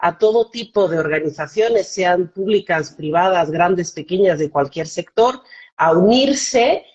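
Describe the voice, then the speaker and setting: Swiss woman w/ mexican-ish accent, kinda low voice, lots of ums and restarts, dull lo-fi call audio. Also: animated, measured pace, darkish tone. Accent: Mexican-tinged Spanish. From Switzerland. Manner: hesitant, many fillers and restarts. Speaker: foundation director, guest. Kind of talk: live online interview.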